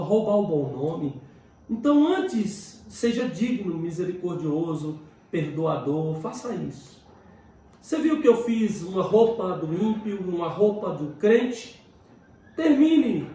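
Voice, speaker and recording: A man's voice: high-pitched, mellow. A Brazilian man teaching the Bible as a pastor, exhorting listeners in short, emphatic phrases and informal exposition. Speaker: pastor teaching a Bible lesson, host of the show. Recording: very echoey room, distant mic, faint noise.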